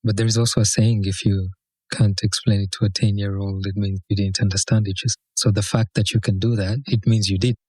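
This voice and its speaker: A man's voice: lowish voice, flat delivery, fairly quick, a little mellow, dry recording, poor audio. A man hosting a podcast interview, asking curious questions and adding short encouraging replies. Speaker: podcast host. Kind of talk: casual interview.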